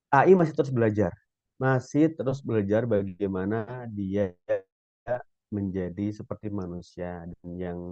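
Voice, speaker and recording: A middle-aged man explaining things as a guest speaker in an online question-and-answer session, haltingly and repeating phrases for emphasis. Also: medium pitch, mellow. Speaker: guest speaker, writing and publishing professional. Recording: muffled, echoey online-call audio.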